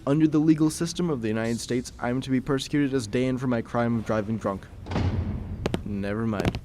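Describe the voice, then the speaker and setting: A man's voice: medium pitch, brisk, natural voice. A man playing a weary former soldier in an audio drama, speaking in a plain, subdued way. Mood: resigned. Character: weary former American soldier, male protagonist narrating. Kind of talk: audio drama acting and narration. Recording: loud urban vehicle noise.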